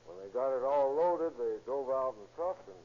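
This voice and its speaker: A man's voice: medium pitch, animated, brisk, narrow, tinny audio, slight background noise, lightly acted. An actor playing a surly, defensive working-class man with blunt, slangy speech, in 1930s radio drama style on an old recording. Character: surly, defensive working-class ex-husband under police questioning.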